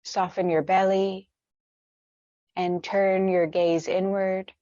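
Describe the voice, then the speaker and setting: Female meditation guide. Soothing, unhurried delivery, medium pitch, close dry sound, a touch muffled. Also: flat delivery, no room sound. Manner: gentle, unhurried, soothing instruction. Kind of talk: guided meditation with gentle stretches.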